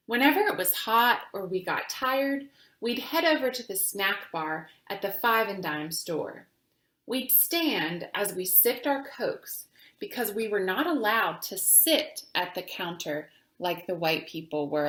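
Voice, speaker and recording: A woman reading a children's book aloud in a deliberate, teacherly way, pausing to speak to young listeners. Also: mid-range voice, animated, pushed and bright, reverberant. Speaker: reader of children's books for a virtual summer camp. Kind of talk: read-aloud storytelling with asides to children.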